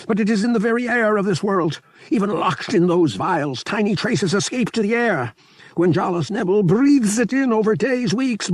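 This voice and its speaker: High-pitched, mournful little alien pilot. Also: male voice, dry recording. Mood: plaintive, explaining. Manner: clipped, article-dropping alien phrasing. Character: small mournful alien pilot, frail, trembling, broken English.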